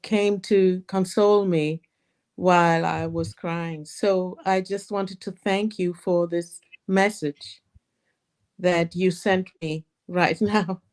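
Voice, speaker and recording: A woman from Cuba, calling in to offer thanks in a halting way with many fillers. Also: fairly low pitch, steady tone, a little mellow. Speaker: caller. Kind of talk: call-in thanks and chat.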